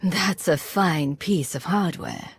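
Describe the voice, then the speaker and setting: A composed, pragmatic commander in a video game. Her voice is husky and fairly low, with a dry, understated manner. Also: animated, slow pace, full character voice.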